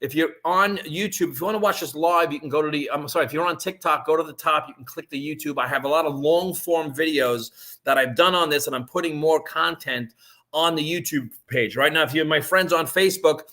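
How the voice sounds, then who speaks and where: Bright-voiced rambling New man in his fifties. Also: on the high side, a little fast. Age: 50s. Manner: conversational, rambling with frequent restarts and "right?" checks. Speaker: online business presenter. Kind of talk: live-streamed sales pitch.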